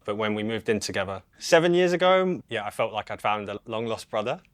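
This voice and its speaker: A man, talking candidly and warmly to a close friend in a heartfelt, slightly halting way. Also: fairly low pitch, lively intonation, no echo. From Britain. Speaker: interviewee sharing his HIV story. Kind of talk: candid conversation between two friends.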